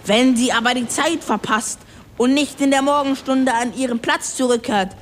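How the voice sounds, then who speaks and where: A woman's voice: high-pitched, normal speed, slight background noise, lightly acted. A woman voicing a grumpy little male ship's kobold in an audio story, scolding and emphatic like an exasperated know-it-all. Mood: lecturing. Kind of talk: acted German audio story.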